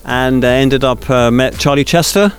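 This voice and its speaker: A man's voice: mid-range voice, normal speed, soft background music. A Swiss man being interviewed as a guest, chatty and anecdotal, telling stories with many asides. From Switzerland. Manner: chatty, anecdotal, with fillers and restarts. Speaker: radio festival organizer, guest. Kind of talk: interview conversation.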